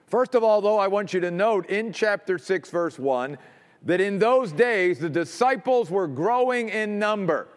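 A man preaching a sermon as pastor, emphatic, dropping low and quiet to stress points. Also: lively intonation, a little slow, in a large hall.

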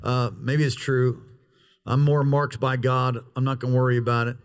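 A pastor preaching an outdoor sermon in a parking lot, with an opening prayer and emphatic, drawn-out pauses. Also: male voice, mid-range voice, level tone, smooth.

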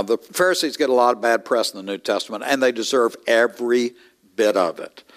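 A middle-aged man preaching a conversational sermon, with wry asides and sudden rises in pitch. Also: a bit bright. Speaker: pastor. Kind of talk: expository sermon.

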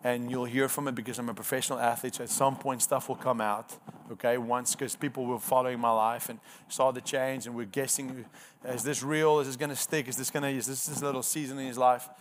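Afrikaans guy preaching his testimony, middle pitch, earnest and repeats himself for emphasis, bit of faint noise behind. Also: flat delivery, a little fast. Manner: earnest storytelling with emphatic repetition. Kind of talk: sermon testimony.

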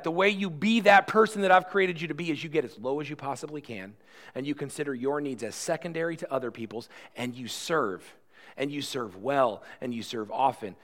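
Male pastor, early 40s, slightly higher voice, chatty and earnest sermon delivery, restarts and asides. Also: fairly bright tone. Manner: conversational and earnest, with rhetorical questions and self-deprecating asides; repeats words and restarts often.

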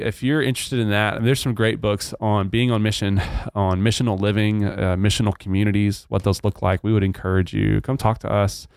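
Texan guy hosting a church podcast, low smooth voice, rambly and earnest. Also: darkish tone, dry recording, clean studio sound. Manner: earnest, rambling with many fillers. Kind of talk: casual chat.

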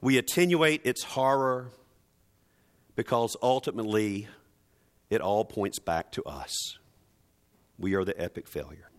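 Slow, deliberate male preacher. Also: mid-range voice, fairly bright tone. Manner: emphatic, dramatic, deliberate phrasing with repetition. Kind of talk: sermon.

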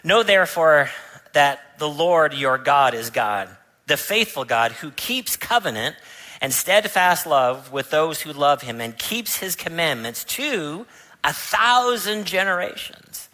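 Bright-voiced pastor preaching to a congregation. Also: male voice, fairly high pitch, sing-song, slow, rough recording. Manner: storytelling, rising to emphatic preaching. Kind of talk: sermon. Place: church sanctuary.

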